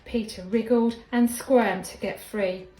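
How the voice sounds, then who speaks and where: A woman, sounding like a schoolteacher, reads a children's story expressively, with deliberate, dramatic emphasis. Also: a little high, steady tone, mellow. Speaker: primary school teacher reading a story. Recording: echoey room with background music.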